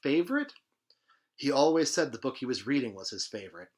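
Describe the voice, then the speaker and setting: A man voicing an exasperated, bossy, squeaky auntie as he reads a story aloud. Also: big pitch swings, fairly quick, a little mellow. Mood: exasperated, scolding. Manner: exclaiming, instructing.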